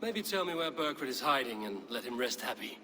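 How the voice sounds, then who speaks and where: An actor playing a stern young Viking warrior in a game cutscene, terse and blunt. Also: male voice, mid-range voice, sing-song, a little fast, sharp tone, slight background noise. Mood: taunting. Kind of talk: dramatic game cutscene acting.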